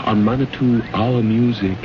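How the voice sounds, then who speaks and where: An actor playing a calm, cryptic man from another planet in a radio drama, speaking in a measured, deliberate, grave way. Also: mid-range voice, close to an everyday voice. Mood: guarded. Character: calm, cryptic alien man guarding his world. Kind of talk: old-time radio drama acting. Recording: noisy, muffled old radio recording.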